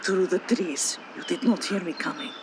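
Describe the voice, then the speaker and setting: An actor playing an ancient, wounded vampire who speaks in measured, archaic, formal phrases in an audio drama. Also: mid-range voice, close to an everyday voice. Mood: cold warning. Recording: noisy outdoor sound scene.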